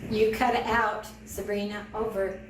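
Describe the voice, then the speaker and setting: A woman testing family two-way radios at home, speaking haltingly, laughing often and closing her turns with "over". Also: medium pitch, little pitch movement. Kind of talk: casual radio check-in. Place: home, indoors, later on a porch. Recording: echoey room, distant mic, background noise.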